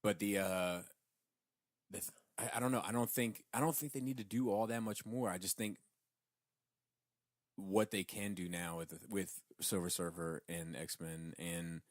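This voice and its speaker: Male podcast co-host, deep voice, informal and sweary, close dry sound. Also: fairly even intonation, unhurried, no echo. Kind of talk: casual geek-culture podcast chat.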